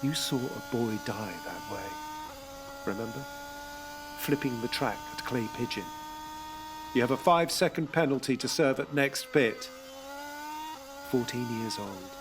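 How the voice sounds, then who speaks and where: A man's voice: medium pitch, measured pace, long pauses, background noise. A man giving scripted dramatic narration in an intense, rhythmic way. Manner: intense, rhythmic, second-person at times.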